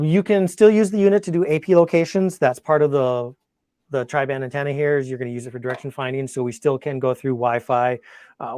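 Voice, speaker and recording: A man's voice: medium pitch, darkish tone, dry recording, clean studio sound. A man presenting an informal technical webinar and taking questions, talking conversationally and thinking aloud as he goes. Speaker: product demonstrator and webinar presenter.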